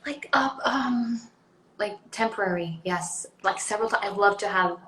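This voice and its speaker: A woman's voice: mid-range voice, normal speed, fairly bright tone, echoey room, a little hiss or hum, low-quality recording. A young adult woman from Sweden with a Swedish accent, chatting casually as an interview guest, rambling with many ums and likes. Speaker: actress guest.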